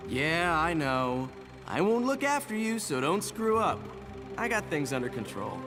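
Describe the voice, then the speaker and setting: A man's voice: a little high, big pitch swings, unhurried. An actor playing a flippant, roguish young man in a video game, with a glib, wisecracking delivery. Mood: glib, defensive. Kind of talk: video game cutscene acting. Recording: reverberant, music underneath.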